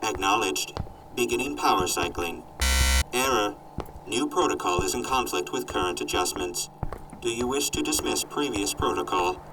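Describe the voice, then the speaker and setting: A low-pitched robot played by a man recites procedure with flat, dutiful formality, as in a wasteland radio drama. There is clear noise behind the voice. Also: moderate pace, close to an everyday voice. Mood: mechanical, neutral. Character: obedient robot companion reciting system protocols. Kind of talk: post-apocalyptic audio drama acting.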